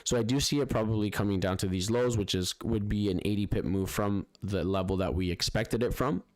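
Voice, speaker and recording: Chatty, low-voiced trading streamer. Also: male voice, brisk, a little mellow, dry recording. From Spanish-speaking background. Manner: chatty, repeats "guys" and "right?", frequent fillers and restarts. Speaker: forex trading livestream host. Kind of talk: casual live-stream commentary over charts.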